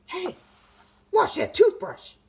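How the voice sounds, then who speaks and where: A pushy, threatening little tooth barks orders in a high, loud voice, as a woman reading a storybook puts him on. He talks like a small tough guy who won't be moved. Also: sing-song, fairly quick. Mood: bossy. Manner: bossy, threatening.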